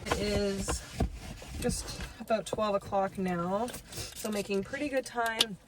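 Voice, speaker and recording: A woman's voice: slow pace, background noise. A young woman with a nasal, stuffed-up voice chatting casually in a vlog, first from her parked car and then out on a ravine walking trail. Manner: chatty, self-deprecating, talks in a high sweet voice to her dog. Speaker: vlogger.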